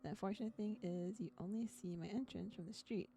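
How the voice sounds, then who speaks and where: Quiet, giggly woman with a mellow voice. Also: mid-range voice, brisk. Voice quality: quiet but clear voice. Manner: giggly, laughs often. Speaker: streamer. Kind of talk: casual chat and reading a visual novel aloud.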